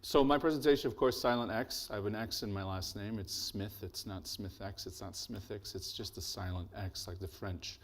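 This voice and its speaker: A man with a deep, bassy voice giving a relaxed, joking symposium talk as an artist, speaking into a microphone in a lecture hall. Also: moderate pace, few pauses. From Blackfoot territory, Alberta. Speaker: artist and presenter. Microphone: handheld or podium microphone.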